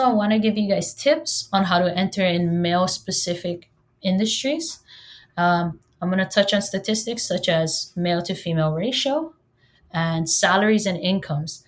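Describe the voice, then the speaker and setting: A woman from Hawaii whose first language is Hawaiian, in her thirties, hosting a solo podcast in a chatty, joking way and talking straight to her listeners. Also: lowish voice. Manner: chatty and humorous, addresses listeners directly. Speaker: podcast host.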